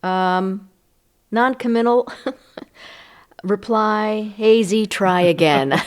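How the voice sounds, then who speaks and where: A woman's voice: medium pitch, very slow. A middle-aged or older American woman co-hosting a nostalgic chat show in an informal, conversational way. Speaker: podcast co-host.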